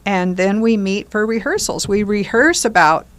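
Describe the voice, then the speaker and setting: Older woman in relaxed conversational discussion. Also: mid-range voice, normal speed. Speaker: community theater group member being interviewed.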